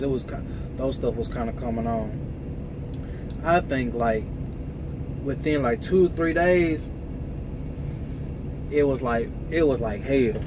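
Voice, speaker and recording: A man's voice: mid-range voice, background noise. A man with a light voice telling a loose, profane story about his work as a truck driver. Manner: loose, profane, animated when quoting others. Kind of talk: casual storytelling.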